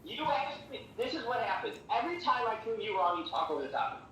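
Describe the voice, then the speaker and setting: A man who works as a truck dispatcher, a guest in a heated casual debate, defensive and quick to interrupt. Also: sits high, big pitch swings, reverberant, distant mic, a crowd in the background, low-quality recording.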